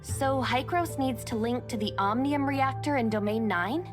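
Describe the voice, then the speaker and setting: A woman voicing a calm, dependable young female guardian in a video game, plainspoken, with music in the background. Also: fairly high pitch, moderate pace, lightly acted. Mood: questioning. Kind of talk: scripted game dialogue.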